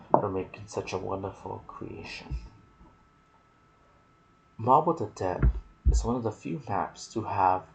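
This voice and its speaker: A soft-spoken man narrating video game lore in an ASMR style, with a hushed, deliberate delivery in short phrases. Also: on the low side, low-quality recording. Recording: clear background noise.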